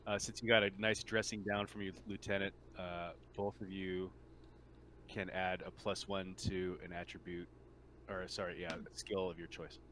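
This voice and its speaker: Low-voiced man with clearly noisy mic. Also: little pitch movement, normal speed, a bit bright. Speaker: game master. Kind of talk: casual narrating of an online tabletop game.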